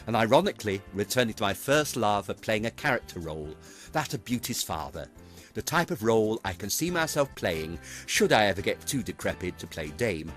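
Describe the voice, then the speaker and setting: A man's voice: fairly low pitch, fairly bright tone. A middle-aged British man giving a scripted, reflective narration as a veteran stage performer. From United Kingdom. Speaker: veteran pantomime performer and presenter.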